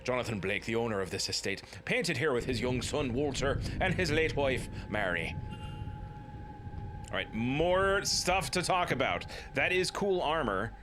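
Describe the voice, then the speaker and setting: A man's voice: mid-range voice, very animated, measured pace, background noise. A man in his thirties hosting a let's play, joking and commenting casually as he plays. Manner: playful, jokey, slips into silly voices.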